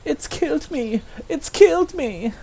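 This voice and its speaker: A woman's voice: high-pitched, animated, unhurried, creaky, warm tone, full character voice, low-quality recording. A woman playing a spoiled, sneering schoolboy bully with a haughty, taunting, theatrical delivery. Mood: panicked.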